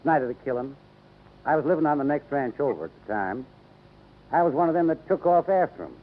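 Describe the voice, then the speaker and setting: A man's voice: a little high, animated, a little fast, lightly acted. A man acting as a wary, grave rancher in a 1950s radio drama, plainspoken and cautioning, with an American accent. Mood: grave warning. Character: wary, grave male rancher giving warnings. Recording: tinny, faintly noisy vintage radio.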